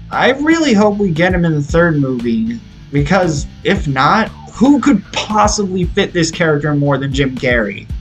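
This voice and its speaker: A young adult man delivering a scripted movie review as an enthusiastic, opinionated narrator. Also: a little high. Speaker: video reviewer. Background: background music.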